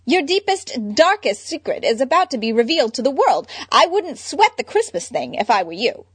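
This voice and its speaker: A woman's voice: sits high, big pitch swings, moderate pace, fairly bright tone, husky, no echo, pitched above the performer's own voice, exaggerated voice. Woman putting on a smug scheming man.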